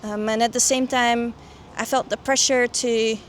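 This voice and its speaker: Young lady, kinda high voice, thinking out loud in an interview, some noise behind. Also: steady tone, normal speed. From the Netherlands. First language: Dutch. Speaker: guest, coaching client and doctor. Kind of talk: personal testimonial interview.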